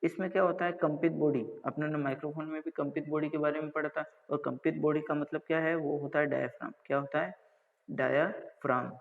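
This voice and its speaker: गूँजती, दबी रिकॉर्डिंग में राजस्थानी लहजे वाला पुरुष शिक्षक. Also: male voice, on the high side, fairly even intonation, brisk, vocal fry. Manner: didactic, asks and answers own questions, repeats check phrases. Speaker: college lecturer. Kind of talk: explanatory technical lecture. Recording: dull lo-fi audio with long reverb.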